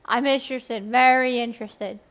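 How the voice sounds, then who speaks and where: A chatty, excitable woman livestreaming a game, casually reading out chat and dialogue text. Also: fairly high pitch, normal speed, rough recording. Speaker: game streamer.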